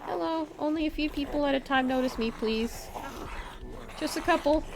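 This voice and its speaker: Higher-voiced woman, playful game streamer. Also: normal speed, creaky, over music, rough recording. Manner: playful, talks to herself and chat. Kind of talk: casual live gameplay commentary.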